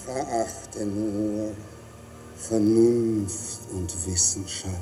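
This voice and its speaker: Sly stage devil, drawn out old-school verse, very dark soft tone, faint music. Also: male voice, fairly low pitch, steady tone. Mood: scornful. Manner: declamatory, drawn-out. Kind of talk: classical declaimed stage verse.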